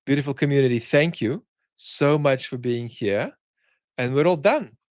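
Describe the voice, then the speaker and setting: A man hosting an online chat, talking reflectively and conversationally in articulate, opinionated phrases, often pausing and restarting words as he searches for the right one. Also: medium pitch, darkish tone, no room sound, studio quality. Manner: halting, searching phrasing with repeated word starts. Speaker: host of a live chat for an online community.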